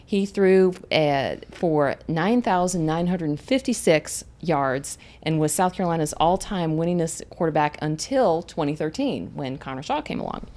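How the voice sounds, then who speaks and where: A woman's voice: low-pitched, animated, a little slow, few pauses, warm tone. A woman hosting a community podcast, introducing guests by reading their backgrounds and asking friendly questions. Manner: reads guest bios, friendly. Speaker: podcast host. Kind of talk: podcast interview.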